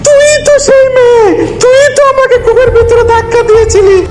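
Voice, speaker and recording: An actor playing a vengeful ghostly witch woman in a horror cartoon, menacing with drawn-out threats. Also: extremely high pitch. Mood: accusing. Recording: echoing voice under loud music.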